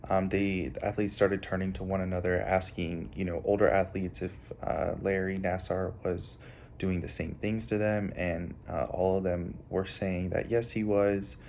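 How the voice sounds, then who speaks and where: A young man giving a prepared analysis as a student presenter, halting often with filled pauses. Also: low voice, little pitch movement, vocal fry, a little mellow, slight background noise. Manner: halting, hesitant delivery with frequent filled pauses.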